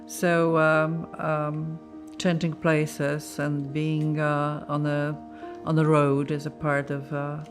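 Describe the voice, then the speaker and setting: Slow, halting woman. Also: low-pitched, completely flat delivery, music in the background. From Poland. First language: Polish. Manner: reflective, halting, searching for words. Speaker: librarian. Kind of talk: documentary interview.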